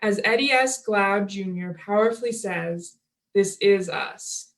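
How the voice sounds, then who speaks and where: A woman's voice: medium pitch, measured pace. A woman reads a written essay aloud. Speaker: reader at a table reading. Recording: distant from the microphone.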